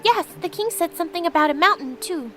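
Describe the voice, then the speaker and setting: A woman's voice: very high voice, lively intonation, quick pace, pushed and bright, slight background noise, exaggerated voice. A woman voicing a friendly, agreeable talking cat in a game, helpful and matter-of-fact.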